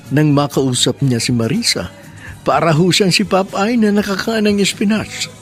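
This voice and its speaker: A man narrating a radio drama in a polite storytelling tone, addressing the show's host. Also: on the high side. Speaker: show narrator.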